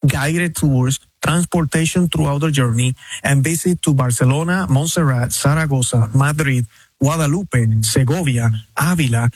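A man with a slightly raised pitch and a breathy, husky edge reads a devout invitation. His delivery is measured and unhurried, slowing down on the key phrases. The sound is dry and close. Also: dry recording. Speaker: pilgrimage promoter. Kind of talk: read promotional invitation.

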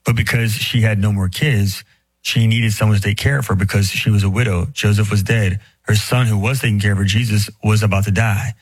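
A priest talks through a scripture question in a loose, conversational way, leaning on fillers. His voice sits low, and the sound is close and dry with no room to it. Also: fairly even intonation, slightly rough, no room sound, rough recording. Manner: casual, filler-heavy, speeds up and slows down. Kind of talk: casual Bible explanation.

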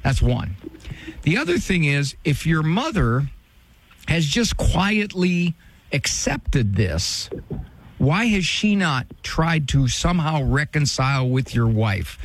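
A man's voice: a little high, very animated, takes it slowly. A man hosting an advice call-in show, talking directly and firmly and pressing the caller with pointed questions. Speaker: radio advice host.

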